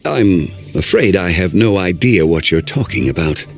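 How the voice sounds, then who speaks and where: Deep, unhurried sage, cryptic, slow and gravelly. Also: male voice, lively intonation, faint music behind, exaggerated voice. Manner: measured, speaks in riddles. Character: ancient, composed male sage, calm and cryptic. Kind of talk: game voice acting.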